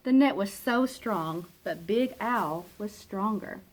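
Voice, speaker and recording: A woman narrating a children's picture book aloud in an expressive, dramatic way, with emphatic pauses and hushed phrase endings. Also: medium pitch, poor audio. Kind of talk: expressive storybook read-aloud.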